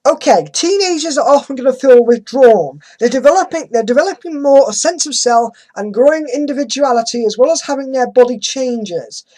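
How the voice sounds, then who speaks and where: A woman's voice: high voice, expressive, brisk, few pauses, dark tone. A woman hosting a dramatic reading, commenting with sarcastic, exasperated emphasis. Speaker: host reading an article aloud with commentary.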